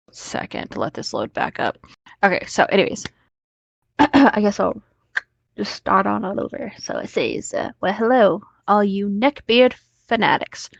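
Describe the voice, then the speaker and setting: Woman with a creaky, unhurried voice. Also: medium pitch, no room sound. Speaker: podcast co-host. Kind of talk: casual group chat, hesitant phrasing. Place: remote online call. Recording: slightly dull, muffled call audio.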